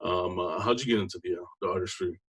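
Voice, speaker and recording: A man hosts a casual interview, halting often on "uh" and calling his guest "man". His voice sits low. He keeps it loose and conversational. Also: a bit bright, breathy edge. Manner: halting, heavy "uh" fillers, friendly "man". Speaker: interview host.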